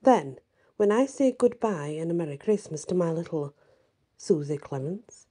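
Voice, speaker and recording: A woman reads a story aloud at a measured, gentle pace. Her voice is soft and very dark in tone, with a breathy huskiness. She sounds like a storyteller reading to a listener. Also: on the low side, animated. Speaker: channel host and story reader.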